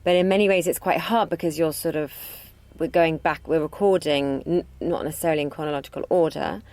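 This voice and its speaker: Low-voiced woman chatting. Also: fairly even intonation, a little fast, a little mellow, dry recording. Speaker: actress being interviewed. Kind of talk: casual behind-the-scenes chat.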